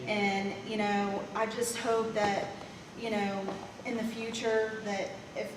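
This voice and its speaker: A woman giving a halting, heartfelt tribute to her pastor and his wife, full of "um"s. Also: mid-range voice, flat delivery, echoey room, in a large hall, far from the mic, background noise. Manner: halting, with many "um"s and "you know"s. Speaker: church member giving a tribute. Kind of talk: emotional, halting tribute speech. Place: large church fellowship hall.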